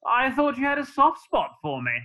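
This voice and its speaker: A man's voice: very high-pitched, very animated, moderate pace, sharp tone, heavily acted. A man playing a mean, lecherous village squire in a radio pantomime, blustering and exclamatory. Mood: oily, wooing.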